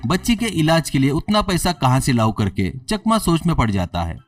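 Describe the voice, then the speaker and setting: A man's voice: on the high side, sing-song, moderate pace, dry recording, distant mic. A man narrating a scripted moral story as a storyteller.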